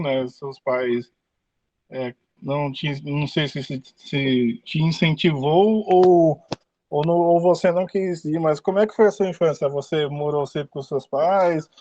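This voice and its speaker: A man's voice: a little high, darkish tone, dry recording. A man with a Brazilian accent co-hosting a podcast remotely, chatting casually as he asks questions. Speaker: podcast co-host. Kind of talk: casual interview chat. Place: joining remotely by online call. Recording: slightly dull remote call audio.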